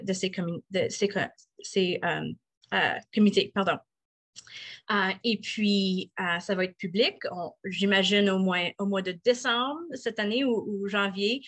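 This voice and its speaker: A middle-aged woman, a municipal councillor answering interview questions haltingly, with many fillers and restarts. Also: medium pitch, fairly bright tone. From Eastern Ontario, Canada.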